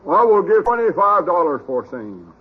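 Simple obliging country fellow, Southern US accent, slow-witted, highish husky voice, old radio comedy, thin phone-like recording. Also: male voice, sing-song, heavily acted. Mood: halting, literal.

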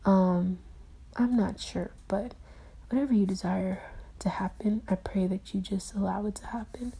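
A woman speaking in a soft, hushed half-whisper, earnestly rambling through a personal video diary and spoken prayer. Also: medium pitch, fairly even intonation, normal speed, vocal fry, slightly rough, poor audio. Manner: earnest, rambling, with many fillers. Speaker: video diarist.

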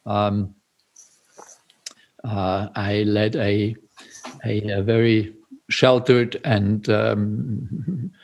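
An older man from Austria with an Austrian German accent, a Zen priest speaking as an interview guest, pausing often with fillers and soft chuckles. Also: fairly low pitch, steady tone, poor audio. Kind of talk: reflective interview talk.

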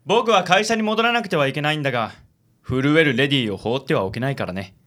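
A man playing a suave, theatrical gentleman with pompous, chivalrous, flowery speech in a comic radio drama. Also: mid-range voice, animated, fast, natural voice. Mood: suave, gallant. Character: suave, theatrical gallant man who fusses over ladies.

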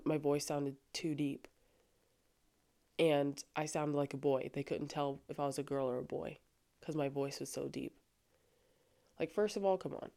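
A young adult woman with a low voice talking earnestly and casually on her faith podcast, with frequent fillers. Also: warm tone. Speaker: podcast host. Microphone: studio microphone.

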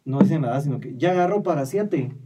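A man's voice: medium pitch, animated, fast, background noise. A man in his twenties with a Guatemalan accent, chatting casually with slangy, teasing talk. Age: 20s. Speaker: podcast co-host. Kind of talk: casual chat. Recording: slightly distant from the mic.

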